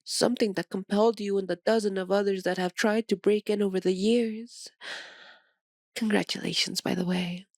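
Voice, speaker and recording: A woman's voice: medium pitch, fairly even intonation, normal speed, a little mellow, no room sound, clean studio sound, natural voice. A woman voicing a centuries-old, sadistic female vampire in an ASMR roleplay, with a theatrical, condescending and menacing delivery. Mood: coy, curious. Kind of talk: ASMR roleplay, dramatic monologue.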